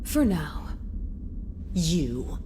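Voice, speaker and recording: A woman's voice: low-pitched, very animated, extremely slow, background noise, heavily acted. A woman voicing a hostile, contemptuous female warrior villain with a menacing, sneering delivery in a video game. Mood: menacing, scornful. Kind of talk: video game cutscene acting. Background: game music.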